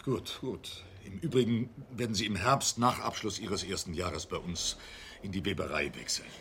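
An actor playing a stern, discreet art school director in a radio drama, formal and authoritative. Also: male voice, deep voice, moderate pace, a bit bright, a little hiss or hum.

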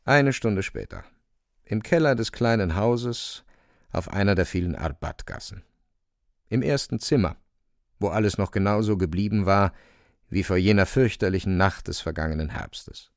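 A male narrator of a literary radio drama with a lowish, creaky voice. He tells the story vividly and suspensefully, with animated intonation. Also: normal speed, dry recording, clean studio sound.

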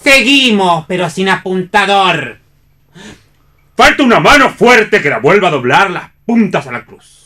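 Una actriz interpreta a una figura que comenta la acción con ironía, en tono teatral de radio. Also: female voice, medium pitch, very animated, a little slow, bright, forceful tone. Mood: pointed. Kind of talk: theatrical radio play reading.

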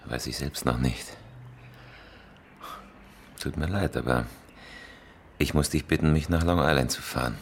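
An actor playing a cool, menacing career criminal in a radio crime drama, terse and controlled. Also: male voice, a bass voice, a little slow, long pauses, a little hiss or hum. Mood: terse, matter-of-fact. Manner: terse, controlled, matter-of-fact.

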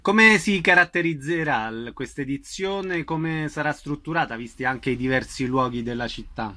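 Bright, higher-pitched male interviewer. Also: normal speed. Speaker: interviewer, presenter. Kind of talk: prepared introduction and interview questions.

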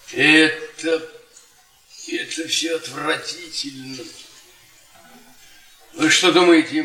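An actor playing a bitter, humiliated middle-aged professor in a radio play, speaking in a deliberate, sardonic, tightly wound way. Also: male voice, a little high, sharp tone, distant mic. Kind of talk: theatrical radio play acting. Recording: echoey room, off-mic radio theatre recording.

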